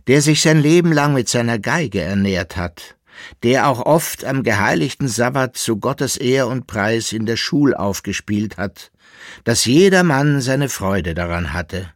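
A man's voice: mid-range voice, lively intonation, a little slow, dry recording, studio quality, voice pushed up, lightly acted. A man voicing a solemn otherworldly summoner who proclaims ceremoniously in an audiobook story.

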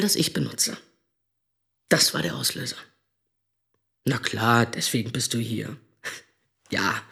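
An actor playing a powerful politician's resentful young son, speaking in bitter slang in a radio drama monologue. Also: male voice, fairly high pitch, gravelly, fairly bright tone, heavily acted.

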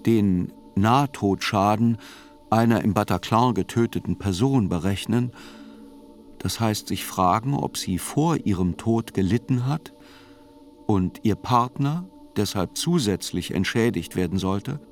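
Man acting a sober court chronicler, low voice, measured radio play narration, faint music underneath. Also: lightly acted.

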